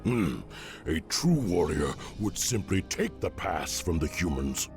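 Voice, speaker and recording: A man's voice: low-pitched, sing-song, a little slow, soft background music. A man voicing a brutal, troubled veteran orc warrior in a video game, growling and brooding. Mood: scornful. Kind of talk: video game cinematic acting.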